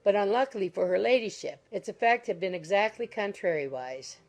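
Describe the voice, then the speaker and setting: A woman's voice: medium pitch, animated, a little fast, a little mellow. Woman, audiobook narrator, mid-range voice, literary storytelling, classic novel prose, faint music behind.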